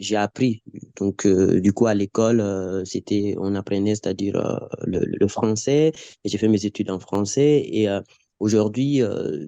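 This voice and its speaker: A man from Guinea, a native Pular speaker, talks on a live panel as a writer. His low voice sounds dark and mellow, and it is recorded dry and close. He speaks haltingly, restarting and repeating words. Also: no echo. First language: Pular (Fula). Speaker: writer and playwright.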